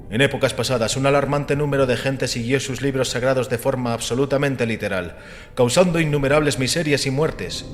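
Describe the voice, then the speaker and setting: A man voicing a calm, assured and scornful critic of religion, measured and didactic, in a dramatized audiobook. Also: medium pitch, natural voice. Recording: long reverberant hall with music behind. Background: music.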